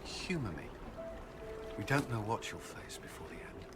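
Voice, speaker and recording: An actor playing an earnest former templar soldier and devoted suitor in a video game, speaking sincerely with a gentle, hesitant awkwardness. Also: male voice, fairly low pitch, very animated, normal speed, over loud music. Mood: fond, earnest. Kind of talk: dramatic video game dialogue. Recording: noisy background ambience.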